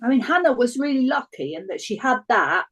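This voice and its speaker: High, breathy, lively woman. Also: fairly quick. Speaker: book club member. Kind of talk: casual group chat. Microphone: video call.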